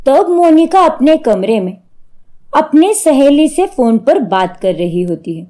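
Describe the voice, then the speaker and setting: A woman's voice: high-pitched, very animated, a little slow, warm tone. A woman narrating a scripted story as a storyteller.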